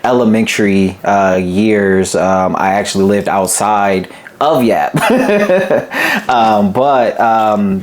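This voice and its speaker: Young man from Berkeley, low relaxed voice. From Berkeley, California. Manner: relaxed, steady, with frequent "um"s. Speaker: guest, business founder. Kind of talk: casual interview chat.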